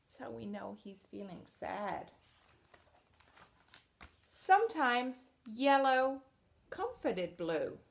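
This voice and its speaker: A woman teacher reading a storybook aloud to children expressively, pausing to explain things in simple words. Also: a little high, big pitch swings, vocal fry.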